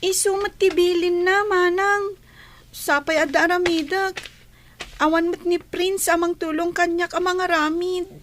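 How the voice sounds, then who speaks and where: Casting: timid, dutiful schoolgirl for radio play; tiny, very high, plaintive voice. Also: steady tone, a little slow, a bit bright, slight background noise. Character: meek, put-upon schoolgirl, dutiful and timid. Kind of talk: radio drama acting.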